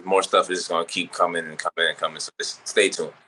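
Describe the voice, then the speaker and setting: A man's voice: low voice, level tone, quick pace, bright, forceful tone, slight background noise, low-quality recording. A man from Barbados, talking loosely and reflectively as a podcast co-host. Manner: loose, reflective, swearing freely. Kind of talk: casual chat.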